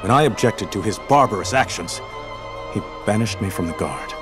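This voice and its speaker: A man playing a confident, honourable guard captain in a video game, assured and crisp. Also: fairly low pitch, expressive, moderate pace, over music, close to an everyday voice. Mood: urgent.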